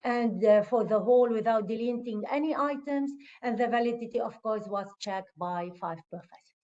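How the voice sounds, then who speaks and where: A woman's voice: a little high, moderate pace, a little mellow, highs cut off. A woman giving an academic conference presentation and reporting statistics with frequent hesitations. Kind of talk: academic presentation of research results.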